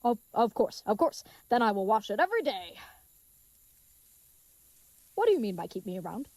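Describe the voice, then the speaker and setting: A woman's voice: medium pitch, sing-song, moderate pace, a little mellow, no echo. A woman playing a big, earnest, dim-witted young knight in an audio drama, speaking in florid, old-fashioned, chivalrous phrases. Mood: flustered, smitten.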